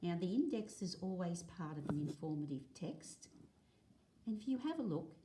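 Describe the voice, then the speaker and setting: A woman reading aloud as a teacher, explaining in a measured way, phrase by phrase. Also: fairly low pitch, sing-song, noisy background. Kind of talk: read-aloud lesson of a non-fiction picture book. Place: indoors at a table, filming a book.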